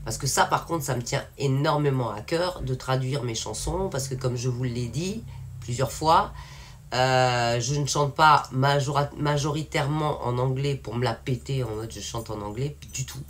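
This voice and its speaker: A woman's voice: extremely low pitch, normal speed, slight background noise. A woman from France talking casually and frankly to her viewers, rambling with many fillers and restarts. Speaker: independent singer and channel owner. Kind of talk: casual spoken update to viewers.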